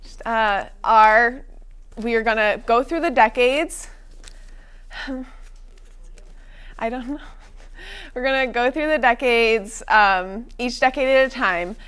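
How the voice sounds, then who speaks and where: A woman's voice: fairly high pitch, slow, long pauses, fairly bright tone, clear, resonant tone. A young woman hosting a college studio quiz show, upbeat and a little unpolished, with fillers and restarts. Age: young adult. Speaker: game show host.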